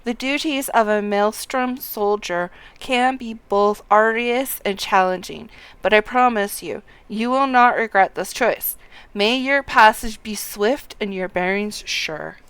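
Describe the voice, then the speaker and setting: A woman reads the part of a recruiting officer in a fantasy game, hearty and commanding. The delivery is unhurried and formal, with a creaky edge. The lines are rousing and addressed to a new recruit. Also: mid-range voice, a bit bright, no echo. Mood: formal, encouraging. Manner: formal, rousing, reading aloud. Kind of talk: game dialogue read aloud.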